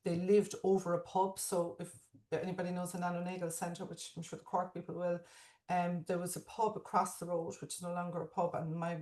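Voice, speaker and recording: A woman hosting a panel, her voice low and flat as it rings around an echoey room. She tells a personal story with a few hesitant fillers. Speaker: panel host. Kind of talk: online panel talk.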